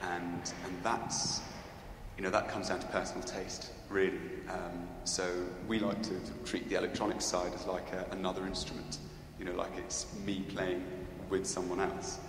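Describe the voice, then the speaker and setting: A man's voice: deep voice, completely flat delivery, moderate pace. A man from Scotland, a cellist, answering audience questions in a church, thoughtful and hesitant with many fillers. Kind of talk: unscripted Q&A answers. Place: large church. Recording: echoey church with background noise.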